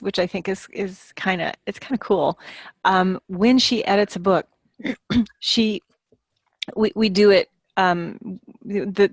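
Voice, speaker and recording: A woman chatting casually as a podcast panelist, in a halting, conversational manner. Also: medium pitch, no echo. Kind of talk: casual chat.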